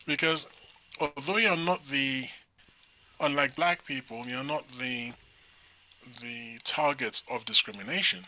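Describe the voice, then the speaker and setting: Nigerian-born guy co-hosting a chat show, super bright voice, rambly and lively. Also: mid-range voice, measured pace, pauses often, rough recording. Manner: rambling, animated storyteller with restarts. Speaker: talk show co-host. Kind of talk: casual chat.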